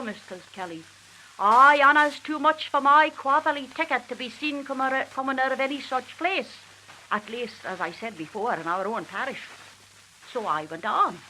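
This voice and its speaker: A woman's voice: a little high, low-quality recording. A woman reciting a dialect tale as a talkative, exasperated Manx country wife confiding in a neighbour, on a hissy 1940s archive recording. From Isle of Man. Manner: animated, confiding, repeatedly addressing her listener.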